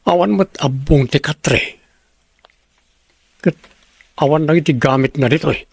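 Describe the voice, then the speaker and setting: A man's voice: mid-range voice, vocal fry, breathy edge, dry recording. A man acting an evasive, casual young man in an Ilocano audio drama. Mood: puzzled.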